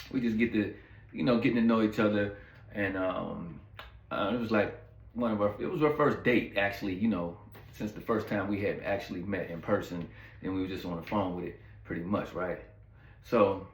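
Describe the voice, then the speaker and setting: Laid-back man rambling through a story in a roomy echo. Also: deep voice. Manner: rambling, laid-back, heavy on fillers and asides to viewers. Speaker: channel host telling a story. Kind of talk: casual storytime monologue.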